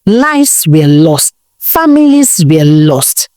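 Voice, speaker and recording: A woman telling a village folktale in a dramatic, expressive way, now and then asking the listener questions. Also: low-pitched, slow pace, no room sound, studio quality. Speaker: storyteller. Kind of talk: folktale storytelling narration.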